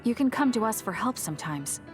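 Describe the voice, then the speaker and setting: A woman's voice: a little high, lively intonation, fairly quick, lightly acted. An actress playing a composed, blunt but caring young female soldier in a video game. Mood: concerned. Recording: music behind the voice.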